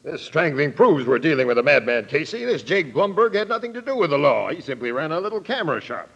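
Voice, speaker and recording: An actor playing a gruff, earnest police detective with a husky voice in a 1940s radio drama. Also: male voice, on the high side, very animated, fast, a bit bright, exaggerated voice. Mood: urgent. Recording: muffled old radio recording.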